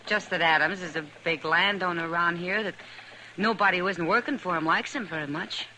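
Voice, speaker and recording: A woman's voice: lowish voice, fairly quick, pushed and bright, poor audio. An actress playing a frank, wary frontier saloon woman in a 1950s radio western, plainspoken and casual. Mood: concerned, frank. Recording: old 1950s radio recording, faint noise.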